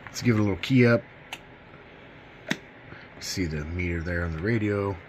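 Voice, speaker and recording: Low-voiced man chatting casually, noisy background. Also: normal speed. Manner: folksy, informal enthusiast talk. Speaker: host of a CB radio demo channel. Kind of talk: casual product demonstration. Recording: clear background noise.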